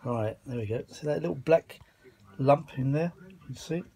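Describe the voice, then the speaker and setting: A man's voice: medium pitch, moderate pace, dark tone. A British man giving a casual, rambling repair commentary to camera, colloquial, with muttered asides and mild grumbling, recorded on a handheld phone. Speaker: hobbyist filming his repair of vintage audio gear. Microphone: handheld phone filming the work.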